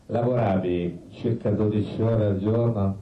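A man telling stories in a live theatrical monologue, conversational and colloquial, with halting repetitions. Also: lowish voice, fairly even intonation, lo-fi sound. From Italy. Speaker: stage storyteller. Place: live performance space with musicians. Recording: roomy live stage pickup, distant, faint noise.

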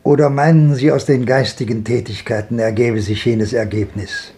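Radio play casting: male actor as old wanderer, world-weary, dark mellow tone, medium pitch, lyrical declamation. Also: steady tone, moderate pace, natural voice. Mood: musing. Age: older. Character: world-weary old wanderer looking back on a hard life. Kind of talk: 1950s German radio drama acting.

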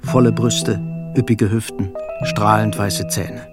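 A man giving measured, scripted literary narration for a radio drama. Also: on the low side, fairly even intonation. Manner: measured, storytelling. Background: faint music.

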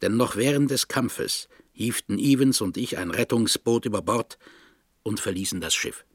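A man acting a remorseful older father who narrates his confession in a radio drama, solemn and measured. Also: on the low side, no echo, clean studio sound. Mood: grave recounting.

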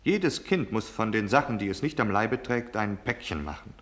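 Cold, domineering military officer, low voice. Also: male voice, lively intonation, moderate pace, a bit bright. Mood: barking impatient orders. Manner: curt, commanding, impatient, cynical. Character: cold, domineering military officer delivering deadly orders, male. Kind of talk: German radio drama acting.

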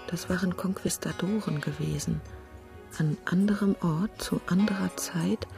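Wry female explorer, gravelly creak, lowish pitch, matter-of-fact, radio drama. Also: dark tone. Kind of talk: radio play acting, dialogue.

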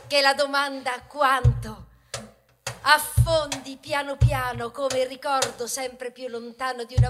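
A woman playing a humble, dreamy grain of wheat in a theatrical ballad, declaiming earnestly and wistfully with dramatic pauses. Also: high-pitched. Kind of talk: theatrical monologue in a radio stage ballad.

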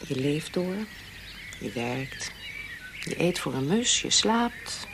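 Blunt caring friend in a radio drama, lowish voice, noisy background. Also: female voice, big pitch swings, slow, full character voice. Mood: firm, urging. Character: firm, caring woman, mother and blunt friend. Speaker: actress in a radio play. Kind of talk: Dutch radio drama acting.

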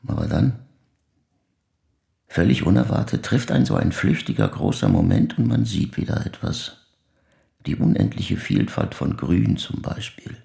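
A bereaved older man with an extremely low, gravel-filled voice. He reflects in measured, literary phrases, pensive and subdued, as in a radio drama monologue. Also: huge pitch swings, mellow, heavily acted. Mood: quietly accepting. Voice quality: very deep, gravelly, breathy at phrase ends. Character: grieving older man speaking reflectively in therapy.

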